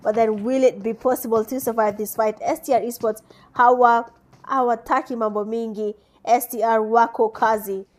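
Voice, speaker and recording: A woman's voice: on the high side, steady tone, moderate pace. A young Kenyan woman giving chatty live commentary on an esports match, laughing often and mixing in Swahili. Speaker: esports caster and streamer.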